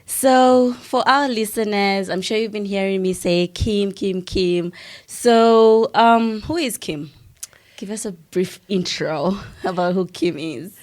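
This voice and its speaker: A woman's voice: mid-range voice, slow, a little mellow. A woman hosting a podcast, bubbly and upbeat, laughing often between her questions. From Zambia. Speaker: podcast host.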